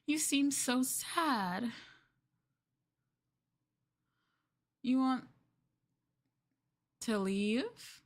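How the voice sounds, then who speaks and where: A woman's voice: sits high, lively intonation, extremely slow, heavily acted. A woman playing an obsessive, possessive girlfriend in an ASMR roleplay, sweetly menacing and coaxing the listener in a cooing manner. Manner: cooing, coaxing, breaking into giggles and whispers.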